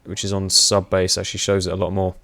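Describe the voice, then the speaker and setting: A young man giving casual, rambling commentary over gameplay, with many fillers and frequent laughs, his voice getting hoarse. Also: low voice, completely flat delivery, fast talker, pushed and bright, dry recording. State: voice going hoarse. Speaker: gaming commentator.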